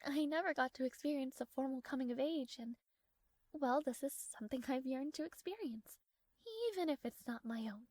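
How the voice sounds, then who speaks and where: A woman's voice: high-pitched, a little fast, a little mellow, dry recording. A woman playing a proud, strong-willed young princess in a romantic fantasy audio roleplay, speaking formally with haughty, flustered hesitations. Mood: wistful.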